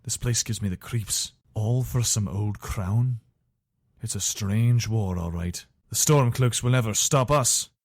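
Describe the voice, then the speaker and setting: A man's voice: lowish voice, a little slow, dry recording, clean studio sound. A man playing a loyal, wary soldier of an imperial legion in a video game, speaking in an earnest, soldierly manner. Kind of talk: video game character voice lines.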